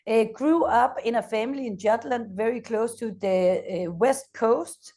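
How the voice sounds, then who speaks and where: A woman from Denmark in her thirties with a Danish accent, speaking as an energetic company founder in an interview and pausing often on "uh". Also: on the high side. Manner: energetic, frequent "uh" hesitations. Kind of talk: interview conversation.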